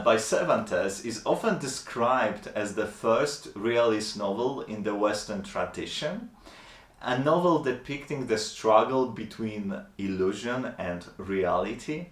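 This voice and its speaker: Guy reviewing a book, slow and kinda formal, roomy echo. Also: lowish voice. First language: Polish. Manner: measured, thoughtful, slightly formal. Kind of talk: reflective book review monologue.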